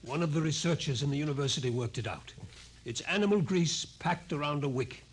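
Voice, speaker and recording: A man playing a composed, coolly analytical scientist in charge, with measured explanatory delivery in 1950s radio drama style. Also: a little high, expressive, lightly acted. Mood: calm, explanatory. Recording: noisy vintage 1950s radio recording.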